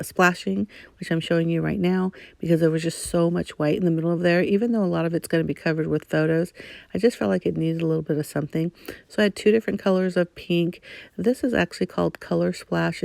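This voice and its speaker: A middle-aged woman talking casually over her crafting footage, rambling and chatty with many pauses. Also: low-pitched, creaky, darkish tone, dry recording. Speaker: scrapbooking channel host.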